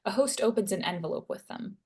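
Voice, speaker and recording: A woman's voice: medium pitch, lively intonation, fast talker, breathy edge. A woman reading stage directions as scripted narration.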